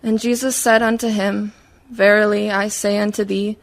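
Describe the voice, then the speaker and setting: A woman's voice: mid-range voice, level tone, normal speed, creaky, natural voice. A woman playing a troubled, despairing wife of thirty in a sombre, literary radio monologue. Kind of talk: theatrical monologue for community radio play.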